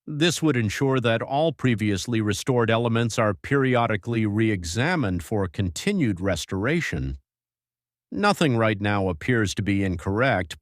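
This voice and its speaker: A man as a careful, low-voiced church member. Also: no room sound, clean studio sound, close to an everyday voice. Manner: careful, procedural. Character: cautious, procedural male church member.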